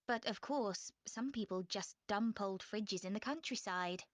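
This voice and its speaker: A woman playing a frank, chatty young student in a clear, measured scripted dialogue. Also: medium pitch, no room sound, studio quality, lightly acted. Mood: informative, interested. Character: young female student planning a class presentation, frank and chatty. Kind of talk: scripted exam listening dialogue.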